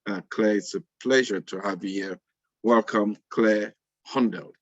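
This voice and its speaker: A man with a fairly low voice hosts a live webinar. His delivery is halting, with repeated words. The sound is dry and somewhat muffled, as from a video call. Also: no echo. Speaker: panel host. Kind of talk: live webinar hosting and introductions. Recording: muffled online call audio.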